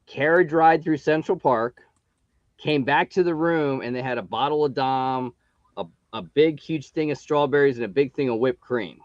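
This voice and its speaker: A man's voice: mid-range voice, moderate pace, dry recording. Male host, 40s, easygoing storyteller, dull dry audio. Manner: easygoing, telling stories. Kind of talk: casual chat.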